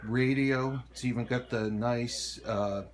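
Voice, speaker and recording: Slow-talking man, casual show-and-tell. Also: medium pitch, steady tone. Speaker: hobbyist presenter of a radio collection.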